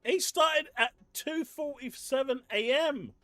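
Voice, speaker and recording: English male streamer with a high voice, incredulous and ranting at viewers, faint music playing under him. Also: unhurried, a bit bright, no echo. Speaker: streamer host reading live chat. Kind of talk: casual live-stream chat. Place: home desk setup.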